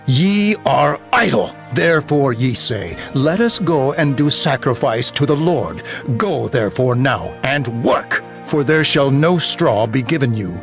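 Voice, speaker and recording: A man's voice: medium pitch, lively intonation, a little slow, vocal fry, faint music behind. An actor playing a haughty, harsh king of Egypt in a scripture audio drama, declaiming in an imperious, scornful way. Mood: harsh, commanding.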